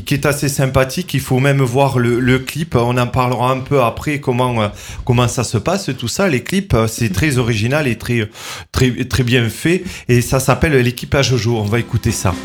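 A man hosting a radio show, introducing a guest with frequent pauses, fillers and restarts. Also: mid-range voice. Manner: hesitant, many fillers and restarts. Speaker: radio host. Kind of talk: casual radio interview.

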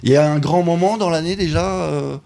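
A man's voice: on the high side, a little fast, creaky, mellow. A man hosting a local radio interview in a studio, chatty and joking, with plenty of fillers. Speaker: radio host. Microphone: studio mic.

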